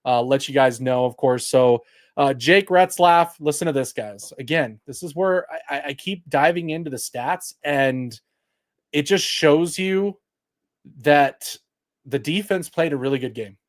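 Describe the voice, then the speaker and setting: Fired-up guy ranting about a football game, loud projecting voice, kinda high. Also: unhurried. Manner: loud projecting voice, heated and exasperated; reads stats aloud to chat. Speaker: sports talk host. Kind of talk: post-game livestream commentary.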